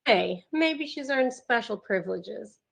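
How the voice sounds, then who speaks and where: A high, gravelly-edged voice for a brash young female patient in a horror drama, acted by a woman. She is cocky and volatile, with a dangerous, unstable streak. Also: animated, unhurried, heavily acted. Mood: taunting, cocky. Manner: taunting and cocky, breaking into screaming panic. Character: Sasha, brash, mean, unhinged young female psychiatric patient. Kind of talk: table read of a horror script.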